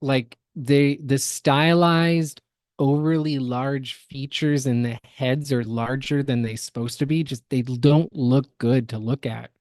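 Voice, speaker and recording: A woman's voice: a bass voice, steady tone, takes it slowly, a little mellow, no room sound, studio quality. A woman co-hosting a podcast, giving opinionated, mocking reaction commentary in a casual style. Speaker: podcast co-host.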